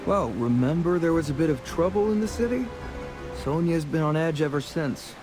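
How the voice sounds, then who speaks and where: A man's voice: a little high, animated, normal speed, over music, lightly acted. A man voicing a roguish, charming, chatty sea adventurer in a fantasy game, with a breezy, self-assured way of talking. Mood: confident, musing. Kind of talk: voiced dialogue in a fantasy game.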